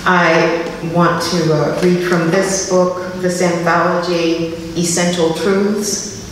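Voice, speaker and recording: A woman giving a live spoken-word poetry reading, with dramatic, deliberate delivery and informal asides. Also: on the low side, flat delivery. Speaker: poet reading at a literary festival. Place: live public reading in a reverberant room. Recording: echoey hall, distant mic, faint noise.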